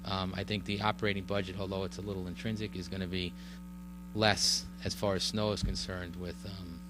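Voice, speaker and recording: A low-pitched man explains a road project at a public meeting, halting and full of fillers, restarting his sentences. A steady electrical hum sits clearly under his voice. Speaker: village official presenting a road project. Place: village board meeting room.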